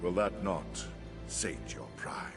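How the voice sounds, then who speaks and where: Stately male monarch, theatrical and solemn, unhurried delivery, clear game score behind. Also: low voice. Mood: solemn, persuasive. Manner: grave, measured, theatrical. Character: solemn, stately male ruler recounting prophecy. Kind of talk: video game cutscene acting, formal storytelling. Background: music.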